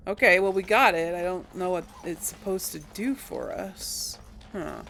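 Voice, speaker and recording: A woman casually commenting on her gameplay, thinking aloud with hesitant pauses and small chuckles. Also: on the low side, lively intonation, vocal fry, fairly bright tone, slight background noise. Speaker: let's play gamer.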